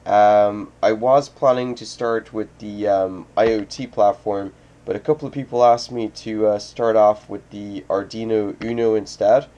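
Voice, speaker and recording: Halting man with a mellow low voice. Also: steady tone, highs cut off. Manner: halting, many ums and uhs. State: recovering from a cold. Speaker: host of a hobby electronics channel. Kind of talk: casual hands-on tutorial. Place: home desk with computer.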